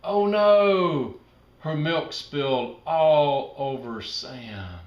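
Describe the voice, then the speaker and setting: A man narrating a children's storybook aloud in an expressive, emphatic storytelling manner. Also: a little high, extremely slow, reverberant, highs cut off.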